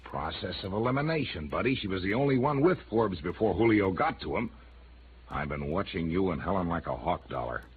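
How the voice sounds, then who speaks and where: Low-voiced, menacing crime boss. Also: male voice, sing-song, fairly quick. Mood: smug, pressing. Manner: tough, taunting, commanding. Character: menacing, sarcastic criminal boss, a middle-aged man. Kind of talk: old-time radio noir acting. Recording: noisy, narrow-band old radio recording.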